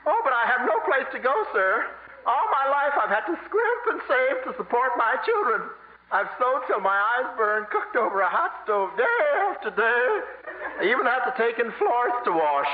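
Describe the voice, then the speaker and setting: A very high-voiced, fussy old lady, acted by a man in a radio comedy. The delivery is fluttery and gushing with wide swoops. It comes through a muffled, roomy old radio recording. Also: very animated, moderate pace, slight background noise. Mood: pleading. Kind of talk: 1950s radio comedy acting.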